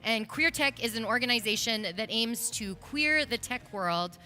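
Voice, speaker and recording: A woman's voice: fairly high pitch, lively intonation, measured pace, sharp tone, faint music behind. A woman hosting a conference stage, introducing a guest and interviewing him in an upbeat, enthusiastic way. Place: live event stage.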